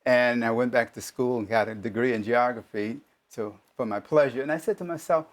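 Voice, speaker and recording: A man's voice: medium pitch, brisk. A man in his seventies, a plain-spoken interview guest sharing personal experience. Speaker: retired volunteer tutor, interview guest.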